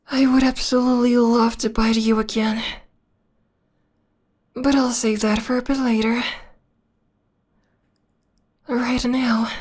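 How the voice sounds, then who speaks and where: A woman playing a possessive, obsessed young vampire lover in an ASMR romantic roleplay, speaking softly and intimately, often in a breathy whisper. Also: fairly high pitch. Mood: obsessed, sweet. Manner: slow, intimate, sweetly controlling.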